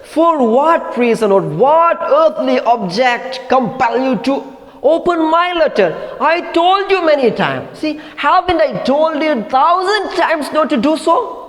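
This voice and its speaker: A man's voice: expressive, a little slow, hardly any pauses, in a large hall. A man voicing a furious young wife who shrieks and scolds her husband. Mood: scolding. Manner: shrill, scolding yells.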